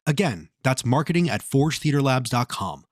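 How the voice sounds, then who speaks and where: A man's voice: medium pitch, sing-song, moderate pace, dry recording, clean studio sound. A man reading a scripted promotional spot as an announcer.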